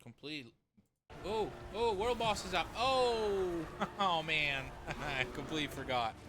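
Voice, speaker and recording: A man's voice: on the high side, animated, takes it slowly. A man with an Italian accent, whose first language is Italian, chatting casually to his viewers while he plays a game. He rambles, laughs easily and drifts into tangents. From Italy. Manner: rambling, laughs often, talks to chat. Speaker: streamer. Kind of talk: casual gameplay commentary. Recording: loud game noise behind.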